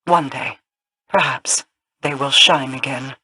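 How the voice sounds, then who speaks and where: A regal queen from a sci-fi fantasy game. The delivery is formal and composed, in a bright, focused voice. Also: rumbling low, slow, husky, full character voice. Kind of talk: scripted video game voice acting.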